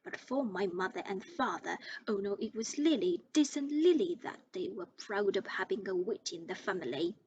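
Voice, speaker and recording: A woman's voice: mid-range voice, expressive, moderate pace, few pauses, a little mellow, muffled recording, a little hiss or hum, lightly acted. A woman voicing a bitter middle-aged aunt who shrieks and rants spitefully.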